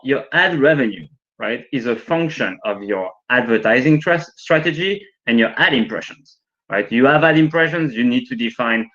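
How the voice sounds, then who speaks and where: A man's voice: mid-range voice, a bit bright, highs cut off. A man presenting a training workshop from home over a video call in English, which is not his first language. He talks in a chatty way and keeps checking in with "right?". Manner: chatty, frequently adds "right?". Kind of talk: live online training talk with demos. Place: working from home.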